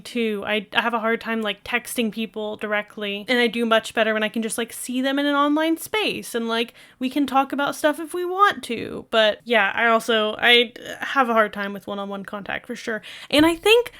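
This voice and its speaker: A woman with a bright, fairly high and very clean voice talks casually over a video she is reacting to. She rambles comfortably, leaning on filler words as she shares her thoughts. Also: clean tone. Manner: chatty and rambling, many fillers. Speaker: video creator.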